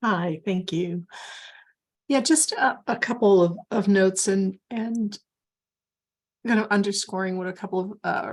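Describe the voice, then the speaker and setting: A woman whose husband was in care, speaking earnestly in an online meeting and raising pointed questions. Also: lowish voice. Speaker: family caregiver. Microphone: video call.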